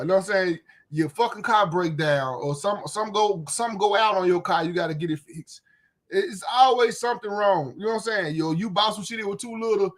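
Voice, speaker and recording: A man's voice: high-pitched, fairly bright tone. A man in his late thirties with a Memphis accent, ranting bluntly and profanely in a casual, repetitive way outdoors. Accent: Southern US, Memphis. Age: 30s. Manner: blunt, profane, repetitive, frequent "you know what I'm saying". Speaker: YouTube commentator. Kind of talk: casual rant. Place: outdoors, windy.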